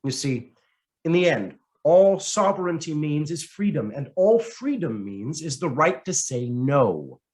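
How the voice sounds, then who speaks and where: A man reading a written essay aloud as scripted narration, in a measured, dramatic way with deliberate pauses. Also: a little high, very animated, a little mellow. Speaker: reader of a written article.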